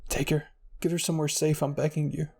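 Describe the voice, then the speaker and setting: A man voicing a gentle, devoted old grandfather in a story, tender and earnest. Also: fairly high pitch, level tone, fast, creaky, slightly rough. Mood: pleading.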